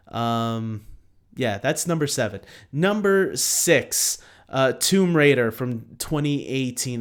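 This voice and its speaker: A man hosting a casual unscripted ranking video, talking to viewers in a halting, rambling, self-deprecating way. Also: medium pitch. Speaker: host of a film ranking video.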